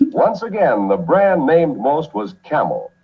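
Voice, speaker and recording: A man's voice: huge pitch swings, slow pace. Old-time male ad announcer, lo-fi. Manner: smooth, upbeat salesman's pitch. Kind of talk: 1950s radio ad announcing. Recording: dull lo-fi archival audio.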